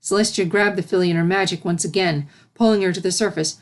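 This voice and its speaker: A woman's voice: lowish voice, fairly even intonation, fast talker. A woman reading scripted story narration as the narrator.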